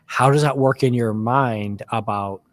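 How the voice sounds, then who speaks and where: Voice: medium pitch, unhurried. A podcast host asks long, meandering questions and encourages the guest in a casual interview.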